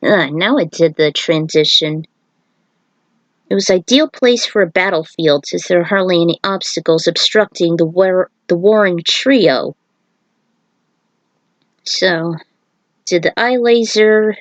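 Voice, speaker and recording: A woman's voice: lowish voice, unhurried, long pauses, no echo, muffled recording. A woman reading her own fan fiction aloud, breaking into chatty, casual asides about it. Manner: chatty, self-commenting asides.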